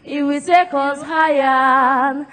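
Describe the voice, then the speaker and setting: Very high, bright woman chanting in church. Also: fairly even intonation, slow, soft background music. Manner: rhythmic, chanting.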